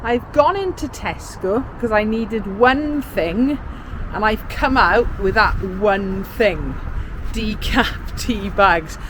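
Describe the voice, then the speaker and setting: A woman at a middling pitch. She chats casually and colloquially, in the manner of an everyday vlogger talking through errands. Clear vehicle and ambient noise runs behind her voice. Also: slow. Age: 50s. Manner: chatty, colloquial, self-narrating errands. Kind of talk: casual chatty vlog.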